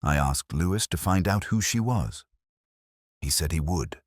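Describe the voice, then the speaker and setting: A weary, cultured police inspector played by a man narrates a detective drama in an extremely low, husky voice. He is brooding and measured, with literary phrasing. The sound is close and dry. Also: animated, dry recording. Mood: brooding, reflective. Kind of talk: scripted first-person detective drama narration.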